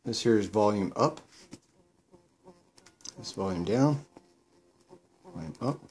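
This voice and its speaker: A man's voice: on the low side, lively intonation, takes it slowly, a little mellow. A man casually explaining his home-built gear in a plain, matter-of-fact way, recorded indoors at home. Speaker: paraglider showing his gear. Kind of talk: casual product demo.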